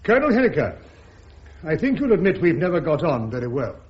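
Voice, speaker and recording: An actor playing a combative army major cross-examining in a radio drama, pointed and deliberate. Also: male voice, on the high side, very animated. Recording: dull lo-fi radio drama recording.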